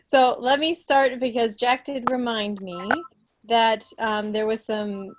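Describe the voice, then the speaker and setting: An American woman in her thirties with a fairly high voice presents a quiz in an unhurried way. Her delivery is casual and playful, teasing like a schoolteacher, picked up on a computer mic at home. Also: flat delivery, a little hiss or hum. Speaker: meeting host, quizmaster. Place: living room at home, on a video call. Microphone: computer mic on a video call.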